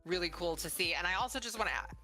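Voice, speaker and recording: A woman's voice: lowish voice, lively intonation, quick pace, pushed and bright. A middle-aged woman conducting a casual interview in an encouraging, conversational way. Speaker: interviewer, mother of the creator. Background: music.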